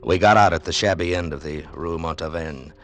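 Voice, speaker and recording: A man's voice: low voice, brisk, a bit bright. An actor playing a cool, commanding male adventurer who also narrates, in dry, wry 1940s radio drama style. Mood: wry, reflective. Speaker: lead character and narrator.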